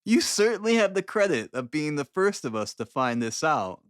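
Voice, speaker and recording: Guy doing a clever gentleman sleuth, posh-polite wording, dry irony, really swoopy voice, storybook reading. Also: fairly high pitch, very animated, normal speed, no echo. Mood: courteous, assured. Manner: polite, ironic, formal. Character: brilliant, sardonic gentleman detective, coolly courteous.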